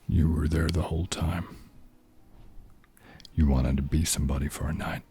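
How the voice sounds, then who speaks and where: An actor playing a cynical, hard-boiled male detective in an ASMR noir drama, speaking in a slow, brooding half-whisper. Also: steady tone, warm tone, slight background noise. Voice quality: very deep, husky, low murmur.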